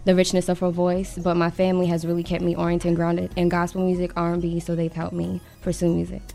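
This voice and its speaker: A woman's voice: on the low side, monotone, quick pace, gravelly, dark tone. A nineteen-year-old woman singer, answering radio interview questions in a composed, polite way. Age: young adult. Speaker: singer, guest. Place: radio studio. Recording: faint music behind.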